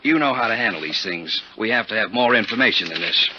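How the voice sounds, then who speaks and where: An actor playing a wry, exasperated insurance adjuster, sardonic and insistent, in 1950s radio drama style on an old recording. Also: male voice, on the low side, animated, quick pace, lo-fi sound, noisy background, natural voice. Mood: urgent, businesslike. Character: wry, exasperated male insurance adjuster, fast-talking businessman.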